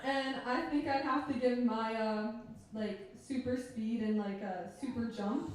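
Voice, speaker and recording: A teenage female high school athlete answering questions as a guest in a casual interview. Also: unhurried. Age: teens. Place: pub stage, live interview. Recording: echoey pub, distant mic, loud background noise.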